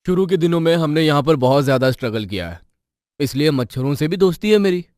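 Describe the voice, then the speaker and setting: Cartoon husband, male actor: young, grumpy, dry wit, slightly high clean tone. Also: lively intonation, fast, a little mellow, clean tone, no echo, close to an everyday voice. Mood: irritated.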